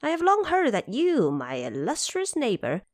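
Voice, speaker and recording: Woman as boastful monkey hero, proud declamation, very animated intonation, close dry sound, audio drama. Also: high-pitched, normal speed, no room sound, voice pushed up. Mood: polite, proud. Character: boastful, cocky male Monkey King, fantasy hero.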